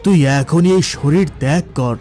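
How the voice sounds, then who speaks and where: A man's voice: fairly high pitch, moderate pace, dark tone, faint music behind, natural voice. A man voicing a stern, commanding village cleric who drives out spirits, solemn and authoritative, in a horror cartoon. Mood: stern challenge. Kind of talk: dramatic horror cartoon acting.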